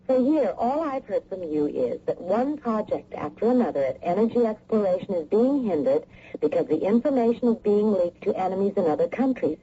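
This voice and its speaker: An actress playing a worried, inquisitive middle-aged wife in a radio mystery drama. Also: female voice, mid-range voice, expressive, fairly quick, mellow, breathy edge. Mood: concerned, pressing. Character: lawyer's wife, inquisitive and worried, mother of a twelve-year-old. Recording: muffled old radio broadcast.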